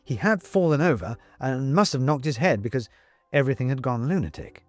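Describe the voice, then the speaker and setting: A man narrating a scripted story as an audiobook reader, with wry, deliberate, emphatic phrasing. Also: mid-range voice, lively intonation, faint music behind.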